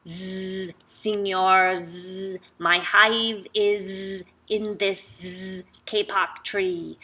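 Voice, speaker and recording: A woman voicing a slow buzzing storybook bee. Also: medium pitch, little pitch movement, pushed and bright, pitched below the performer's own voice. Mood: pleading. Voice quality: buzzing "zzz" sounds. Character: buzzing male bee, earnest and instructive.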